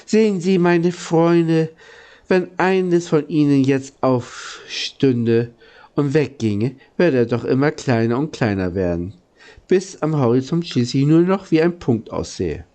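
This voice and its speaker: A courteous, lonely old man acted out by a male reader speaks from a storybook. He is slow and mellow, earnest and gentle, halting as he explains himself. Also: on the high side. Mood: patient, explaining. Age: older.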